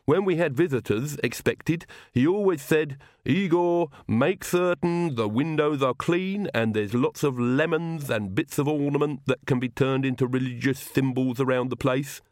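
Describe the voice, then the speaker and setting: A man voicing a loyal, proud, gloomy monstrous castle manservant in an audiobook, with slow, deliberate, deferential talk. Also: medium pitch, darkish tone, no echo, studio quality, pitched above the performer's own voice, lightly acted. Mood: fond, nostalgic.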